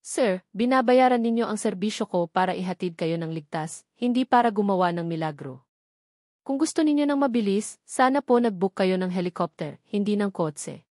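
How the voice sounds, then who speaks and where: Woman reader as fed-up sharp-tongued lady driver in a drama story, sarcastic, dry sound. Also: mid-range voice, expressive, normal speed, no room sound, lightly acted. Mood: indignant, sarcastic.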